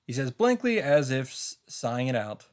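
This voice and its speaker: A man reading a first-person story aloud in a theatrical, halting way, with dramatic emphasis. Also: mid-range voice, big pitch swings, darkish tone. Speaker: streamer reading a visual novel aloud.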